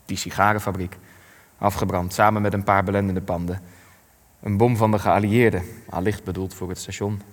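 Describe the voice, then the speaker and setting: An actor playing an elderly war survivor in a radio play, grieving and ashamed, speaking in measured, weighty phrases. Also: male voice, sits low, little pitch movement. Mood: grave recollection. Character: elderly male war survivor, grief-stricken, ashamed, plain-spoken. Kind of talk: radio play acting, testimony. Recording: long hall-like reverb.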